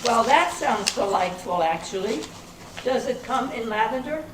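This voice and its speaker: A woman's voice: a little high, expressive, normal speed, far from the mic, natural voice. Woman as a muddled helper, noisy echoey room. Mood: puzzled, pleasant. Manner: innocent, puzzled. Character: helpful, muddled, literal-minded woman who mishears. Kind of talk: amateur readers theater.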